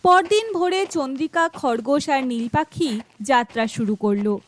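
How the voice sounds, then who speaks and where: A woman's voice: a little high, unhurried. A woman reading scripted fairy tale narration for children, as a storyteller.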